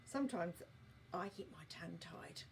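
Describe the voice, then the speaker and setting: A woman's voice: on the low side, animated, normal speed, gravelly, slight background noise. A woman reading a children's story expressively, with playful asides to listeners.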